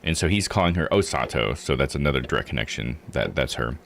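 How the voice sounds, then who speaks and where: A man's voice: extremely low pitch, animated, quick pace, creaky, a bit bright, a little hiss or hum. A man giving casual, rambling live commentary on a game, explaining and thinking aloud as he plays. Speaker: streamer playing and recapping a visual novel.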